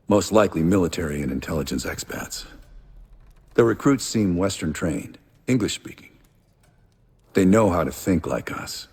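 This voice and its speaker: An actor playing a weary, hardened veteran CIA operative in a video game, gruff and guarded, with a husky, gravelly voice and a terse, matter-of-fact delivery. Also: male voice, low voice, darkish tone, heavily acted. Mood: grave, measured. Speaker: intelligence operative character. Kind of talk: cinematic video game dialogue.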